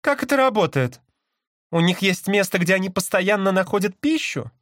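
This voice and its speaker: Мужчина играет раздражённую женщину с высоким голосом. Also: male voice, high voice, very animated, moderate pace, fairly bright tone, no room sound, clean studio sound, pitched above the performer's own voice. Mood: incredulous. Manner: exclamatory, sarcastic, impatient. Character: exasperated, sharp-tongued woman, irritable and incredulous. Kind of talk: dramatized audiobook character.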